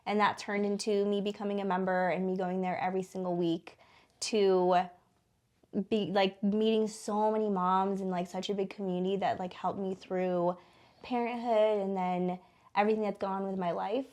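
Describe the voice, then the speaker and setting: A woman's voice: mid-range voice, little pitch movement, moderate pace, a little mellow. An American woman in her thirties speaking informally as an interview guest, in a chatty way with lots of casual filler words like "like". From born in the Philippines, raised in Denver from age eight. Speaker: interview guest, small business co-owner. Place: small studio.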